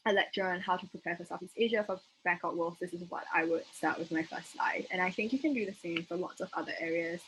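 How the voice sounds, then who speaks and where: A woman's voice: on the low side, poor audio. A young woman from Singapore with a Singaporean accent, giving an informal explanatory lecture as a debate trainer, casual and hedging as she thinks aloud. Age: young adult. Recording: noticeable background noise.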